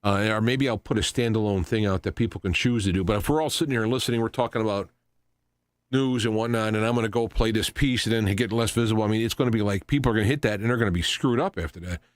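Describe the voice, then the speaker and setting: A man hosting a radio talk show, giving an unscripted commentary in a halting, rambling way, often repeating and restarting his phrases. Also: lowish voice, no room sound. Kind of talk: unscripted news commentary monologue.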